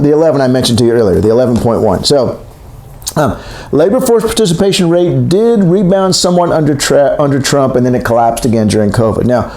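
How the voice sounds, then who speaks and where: A man's voice: mid-range voice, a little mellow. A man in his sixties giving a recorded economics lecture, talking in a loose, conversational way and often correcting himself mid-sentence. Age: 60s. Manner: rambling, self-correcting, conversational. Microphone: recorded for a video lecture. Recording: echoey room.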